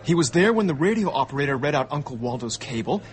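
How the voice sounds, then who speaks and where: An actor playing a brash young amateur sleuth in a radio drama, eager and blurting, stammering when challenged. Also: male voice, on the high side, very animated, fast talker. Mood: insistent, indignant.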